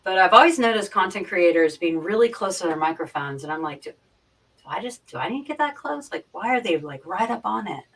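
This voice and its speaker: A woman with a fairly low but sharp, bright voice chats casually on a livestream. She explains things informally, repeating phrases as she goes, and sounds set back from her microphone. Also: lively intonation, a little fast, a little hiss or hum. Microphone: boom mic, sitting back from it. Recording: distant from the microphone.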